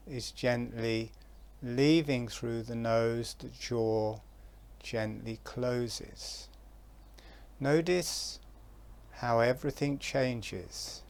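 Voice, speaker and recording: A man giving guided relaxation instructions in a soft, calm voice, with long pauses between phrases. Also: mid-range voice.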